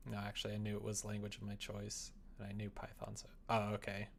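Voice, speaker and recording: A man chatting casually on a livestream as he codes, rambling in an animated, opinionated way. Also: fairly low pitch, fast, creaky, a little hiss or hum. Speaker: live-streaming programmer. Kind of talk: casual livestream chat while coding.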